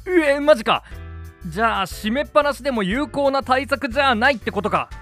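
A man's voice: extremely high pitch, lively intonation, brisk, bright, forceful tone, heavily acted. A man playing an easygoing, slightly forgetful father in an amateur family radio drama, speaking casually and expressively. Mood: casual concern. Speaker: actor in a family audio drama. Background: background music.

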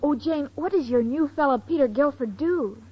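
An actress playing a sweet, muddled young roommate with naive earnestness in a 1940s radio sitcom. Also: female voice, high-pitched, moderate pace, lightly acted. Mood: earnest, muddled. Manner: naive, earnest, literal-minded delivery. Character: sweet dim-witted young woman roommate, cheerfully muddled. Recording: dull old 1940s radio recording.

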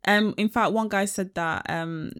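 A young London woman with a slightly nasal voice, talking frankly and joking on a podcast. She speaks in a relaxed, chatty way. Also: medium pitch, a little slow. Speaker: podcast co-host. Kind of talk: casual chat.

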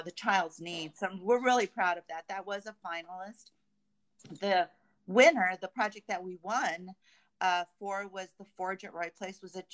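A woman speaking as an interview guest about company work, conversational and enthusiastic, often halting as she searches for words. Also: mid-range voice, a bit bright, clear, resonant tone. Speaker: guest, construction company representative.

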